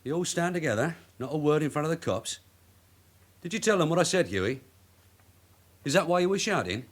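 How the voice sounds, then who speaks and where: An actor playing a shrewd veteran detective sergeant in a British radio drama, a husky voice and terse, probing delivery. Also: male voice, a little high, lively intonation, fairly quick. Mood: probing, wry. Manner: terse, probing questions and clipped orders.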